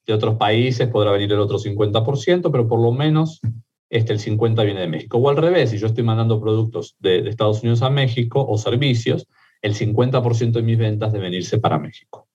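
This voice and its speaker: A man with an Argentine accent talks through a topic in a measured, methodical way, his voice sitting low. He restarts phrases and leans on fillers as he builds each point. The audio is dull and lo-fi. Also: a little mellow, husky. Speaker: immigration lawyer guest. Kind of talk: explanatory interview talk.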